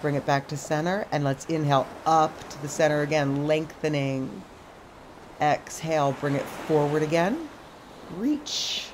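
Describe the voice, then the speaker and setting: Calm woman cueing stretches on a beach. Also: low voice, measured pace, poor audio. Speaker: stretch instructor. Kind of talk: guided stretching class. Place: sandy beach outdoors by the ocean. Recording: outdoor surf noise behind the voice. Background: ocean waves.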